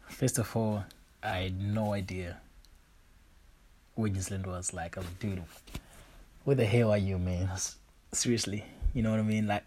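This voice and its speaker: A young man from Zimbabwe hosting his own show, talking casually about his life with lots of fillers. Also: lowish voice. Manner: relaxed, rambling, frequent fillers like "you know". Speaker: podcast host. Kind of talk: casual personal monologue.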